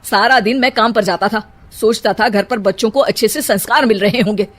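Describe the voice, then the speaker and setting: A woman's voice: medium pitch, fairly quick, lightly acted. Woman playing a grumbling frustrated father. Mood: irritated, sarcastic. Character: frustrated, blustering father of the bride. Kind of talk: Hindi moral-story cartoon dubbing.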